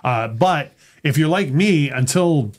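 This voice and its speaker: A man's voice: a little high, expressive, measured pace. Middle-aged guy hosting a music podcast, rambly with lots of uhs, studio mic. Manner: rambling, many fillers and restarts. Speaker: podcast host. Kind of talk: casual music chat. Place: small studio room with purple lighting.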